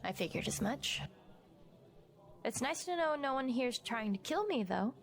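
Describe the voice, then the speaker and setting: A woman's voice: high voice, sing-song, a little slow, creaky, exaggerated voice. An actress playing a composed, stubborn young woman journalist in naturalistic audio drama dialogue. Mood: composed, guarded.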